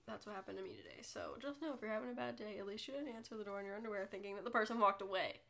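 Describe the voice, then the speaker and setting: Young woman, stuffy nose, gravelly voice, rambling podcast chat. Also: medium pitch, very fast, fairly bright tone. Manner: chatty, self-deprecating, rambling. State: congested from a cold. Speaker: podcast host. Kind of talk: casual solo podcast chat.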